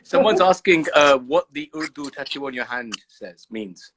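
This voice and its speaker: A man hosting a casual live interview, cheeky, excitable and quick to swear. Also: medium pitch, crowd noise. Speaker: host.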